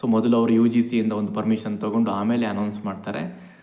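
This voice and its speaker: A man's voice: lowish voice, level tone, fairly quick, warm tone. A man giving an informal update to viewers as a channel host, explaining step by step and mixing in English words. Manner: explanatory, repeats key points, mixes in English words. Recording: echoey room.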